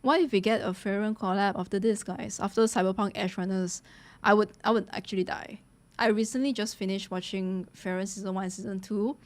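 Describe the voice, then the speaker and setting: A woman streamer reacts casually to what she watches. She gushes and talks in loose slang, addressing her viewers like friends, in a mid-pitched voice. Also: steady tone, fairly quick, darkish tone. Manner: gushing, slangy, addresses viewers as guys and bro. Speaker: streamer reacting to a game trailer.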